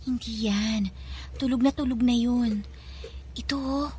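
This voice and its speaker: A woman acting as a timid, kind young girl in a radio drama, with a high, childlike voice. She speaks softly and earnestly, with some noise behind her. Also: steady tone, a little slow, lightly acted. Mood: hushed, caring.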